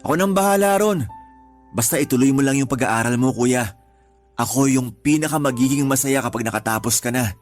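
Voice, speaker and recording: A man playing a devoted, supportive younger brother in a radio drama, conversational, reassuring and given to gentle teasing. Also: mid-range voice, brisk, natural voice. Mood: reassuring, earnest. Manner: earnest, reassuring, lightly teasing. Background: background music.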